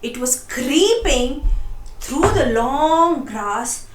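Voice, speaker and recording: A woman narrating a children's storybook in a lively, expressive read-aloud style, addressing young listeners directly. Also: high voice, very slow, reverberant, a little hiss or hum.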